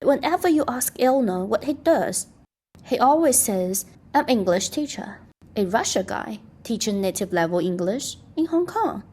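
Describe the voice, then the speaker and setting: Playful higher-pitched woman narrator. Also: lively intonation, normal speed, a little mellow, slightly rough. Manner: playful, teasing. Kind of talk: scripted documentary voice-over. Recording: music underneath.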